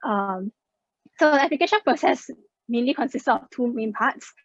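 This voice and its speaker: A young woman talks her listeners through a slide presentation in a chatty, casual way. Her voice sits a little high. The sound is dull and very dry, with no room in it. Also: lively intonation, moderate pace, dry recording. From Singapore. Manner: chatty, many fillers like "uh" and "like". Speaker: scholarship student sharing her experience.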